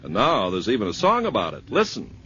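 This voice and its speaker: A man's voice: lowish voice, huge pitch swings, a little fast, bright, forceful tone. A male announcer giving an upbeat vintage radio ad read. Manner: smooth, upbeat announcer patter. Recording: old radio broadcast with faint hiss.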